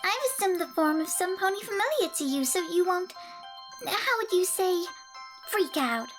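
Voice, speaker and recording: A little unicorn spirit with a very high, small, girlish voice. The creature speaks gently and knowingly, as a character in a cartoon-pony audio story. Music plays clearly underneath. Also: lively intonation, normal speed. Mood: calm, knowing. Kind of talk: audio fan fiction character acting. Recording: music behind the voice.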